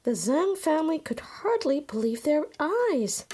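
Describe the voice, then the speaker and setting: A woman's voice: sits high, very animated, measured pace, dark tone. A woman reading a children's picture book aloud as scripted narration. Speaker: storybook reader.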